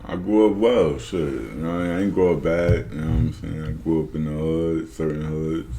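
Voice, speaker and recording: A man answering casually as an interview guest, slangy and low-key. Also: steady tone, moderate pace, warm tone, a little hiss or hum.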